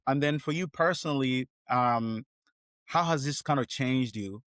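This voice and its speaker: A man's voice: mid-range voice, a little slow, fairly bright tone, dry recording, studio quality. A man hosting a podcast interview, talking casually in a loose, rambling way. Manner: rambling, loose, full of fillers and restarts. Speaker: podcast host.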